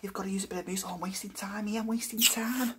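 A man's voice: high voice, fairly even intonation, fast talker, slight background noise, close to an everyday voice. A young man playing a flustered hairdresser who mutters repetitively and frantically in an ASMR roleplay. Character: flustered male hairdresser racing for a speed record.

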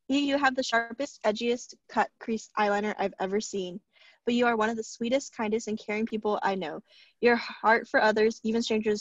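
Young woman on a dull video call, higher voice. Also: dry recording. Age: young adult. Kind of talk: reading tributes aloud, casual. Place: indoor room at home on a video call. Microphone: video call laptop mic.

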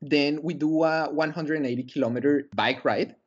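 A man's voice: on the high side, steady tone, normal speed, husky, dull audio. A young man from Colombia, a guest on a video-call interview, talking earnestly with many fillers and restarts. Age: young adult. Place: small room indoors. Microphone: headset on a video call.

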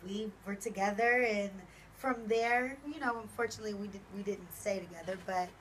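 A young woman speaks in a relaxed, easygoing way on a studio boom mic, often pausing with fillers as she thinks. There is a faint hiss of noise behind her. It is a small radio studio. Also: poor audio. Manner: relaxed, plenty of fillers, agreeable. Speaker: recording artist and radio personality. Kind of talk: radio interview answers.

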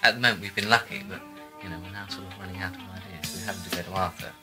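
A man's voice: sits low, steady tone, racing. A man answering interview questions casually, as a producer discussing his work. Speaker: audio drama producer. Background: faint music.

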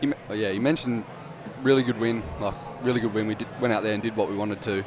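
A young Australian man, a footballer giving low-key post-match answers on a headset mic. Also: fairly even intonation, fast. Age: young adult. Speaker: footballer guest. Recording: noisy room behind.

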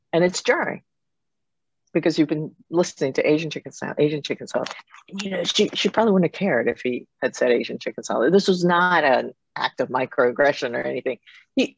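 Middle-aged woman from Japan, interview guest; creaky voice, thoughtful halting storytelling, dry but dull call audio. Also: deep voice, no echo. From Japan, with years in Germany and Italy. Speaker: interview guest, foundation president. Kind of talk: conversational interview answers, personal storytelling. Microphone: computer mic on a video call.

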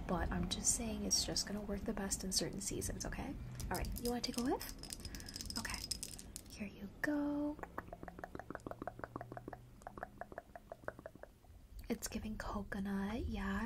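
A woman's voice: medium pitch, close to an everyday voice. Woman acting whispering young witch shopkeeper, noisy recording. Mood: encouraging, friendly. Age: young adult. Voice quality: soft, breathy whisper. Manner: casual, slangy, gently coaxing. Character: friendly, reassuring young witch perfume shopkeeper. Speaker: ASMR roleplayer. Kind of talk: whispered ASMR roleplay. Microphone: close large studio microphone.

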